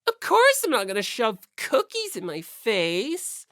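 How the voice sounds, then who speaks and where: Lady doing a bratty teen model girl for an audiobook, vain n teasing, super swoopy pitch, dry close sound. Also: huge pitch swings, unhurried, a bit bright, no echo, heavily acted. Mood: scornful, vain. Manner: teasing, cutesy then scornful. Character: teenage fashion-model schoolgirl, vain, brash and teasing.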